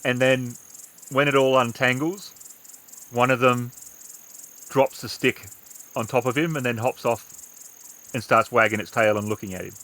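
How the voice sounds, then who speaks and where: A man working as game master, describing scenes in a measured way with pauses during a tabletop roleplaying game. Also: medium pitch, fairly even intonation, fairly bright tone, rough recording.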